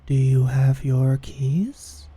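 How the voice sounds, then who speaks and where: An actor playing a teasing, caring British office manager in an intimate ASMR roleplay, speaking softly and breathily with a dry manner. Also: mid-range voice, steady tone, extremely slow, slight background noise. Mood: polite, attentive. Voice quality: soft, breathy, often near-whispered. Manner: dry, gently teasing, solicitous.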